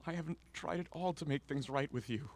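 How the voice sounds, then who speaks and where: A man's voice: a little high, fairly quick, a little mellow. A man acting in a radio drama as an anguished, guilt-ridden middle-aged father who is defensive and stammers with emotion. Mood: remorseful, shaken.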